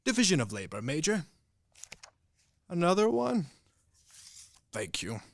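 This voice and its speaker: A man's voice: on the high side, wildly swooping pitch, unhurried, vocal fry, dry recording, exaggerated voice. A young man acting a grandiose cavalry officer in a radio play, with a pompous, theatrical manner. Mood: wry, proud.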